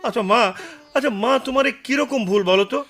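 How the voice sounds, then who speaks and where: A man's voice: extremely high pitch, brisk, fairly bright tone. A man acting as a simple-minded, jovial village elder brother in a Bengali radio drama, eager, teasing and repeating himself. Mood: teasing, excited.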